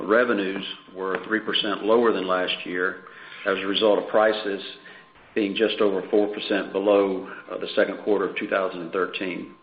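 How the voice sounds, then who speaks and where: A man presenting prepared financial results as a company executive in a measured, businesslike way on a conference call. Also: on the low side. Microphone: conference call line. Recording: dull, echoey conference call with faint noise.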